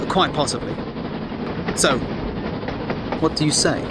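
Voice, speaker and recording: An urbane English gentleman reporter of the 1910s in an audio drama. His voice is fairly high, genteel and clipped, and quietly persistent. Loud vehicle rumble surrounds every word. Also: slow. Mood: suave, coaxing. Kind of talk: period audio drama acting. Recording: loud vehicle and battlefield ambience.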